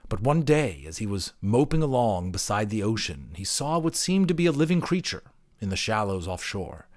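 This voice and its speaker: A man reading an audiobook as a dramatic, expressive storyteller. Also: on the low side, normal speed. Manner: theatrical, expressive. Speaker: audiobook narrator.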